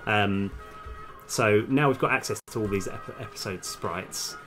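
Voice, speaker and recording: A man live-streaming as a game developer, casually thinking aloud in halting mutters as he works. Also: lowish voice. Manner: halting, muttering to self while working. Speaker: game developer livestream host. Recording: music behind the voice. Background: music, computer keyboard typing.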